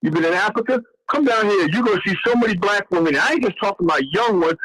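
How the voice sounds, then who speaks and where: A man's voice: high-pitched, quick pace, a bit bright, low-quality recording. A man in his sixties in a casual talk show chat, rambling, cursing freely and stumbling over names as he tells stories. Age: 60s.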